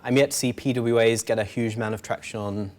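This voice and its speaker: A man's voice: mid-range voice, steady tone, fast talker, darkish tone. A man in his thirties giving a casual, wry tech meetup talk at a podium microphone. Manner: casual, filler-heavy, wry. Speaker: conference speaker.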